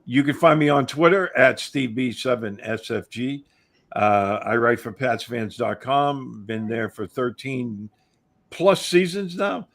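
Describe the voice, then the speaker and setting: A man appearing as a guest football writer, talking in a halting way with many fillers. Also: medium pitch. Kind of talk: casual sports talk.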